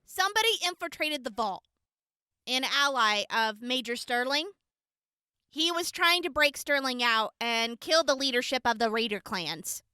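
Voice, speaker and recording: A woman playing a gentle, earnest young wasteland medic giving courtroom testimony in an audio drama, speaking deliberately with weighty pauses. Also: high-pitched, lively intonation, smooth, no room sound, clean studio sound. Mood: steady, defensive.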